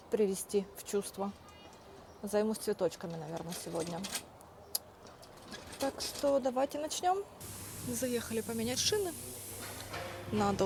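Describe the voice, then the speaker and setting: A young woman chats through her day as a vlogger, her voice sitting a little high. She tells stories and acts out the dialogue she quotes. A faint hum of noise runs underneath. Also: mellow. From Russian-speaking, living in Spain. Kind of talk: casual vlog narration.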